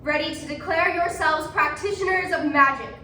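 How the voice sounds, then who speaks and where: A woman's voice: sits high, moderate pace, pushed and bright. A woman playing a defiant woman accused of witchcraft, challenging her judges with emphatic questions in a stage performance. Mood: firm, defiant. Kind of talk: competitive stage readers theatre. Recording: echoey room, distant mic, noisy.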